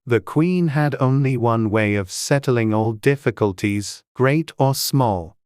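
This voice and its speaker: A man narrating a storybook from a script, measured and clearly enunciated. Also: medium pitch, a little mellow, no echo, clean studio sound. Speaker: audiobook narrator.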